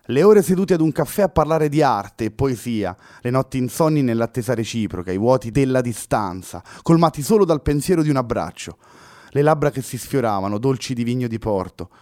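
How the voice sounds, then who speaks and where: A man's voice: medium pitch, expressive, brisk, a little mellow, dry recording, clean studio sound, voice pushed up, lightly acted. A man doing a fervent young woman lover. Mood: pleading. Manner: fervent, raised emotional pleading.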